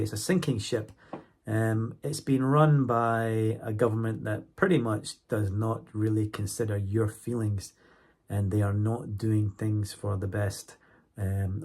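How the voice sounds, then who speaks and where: A Scottish man in his forties talks to his viewers in a low, gravelly voice that is roughened by a cold. He chats casually and keeps checking that his listeners are still with him. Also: steady tone, normal speed, a little mellow. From Scotland. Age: 40s. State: has a cold. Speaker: YouTuber. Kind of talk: casual monologue to camera.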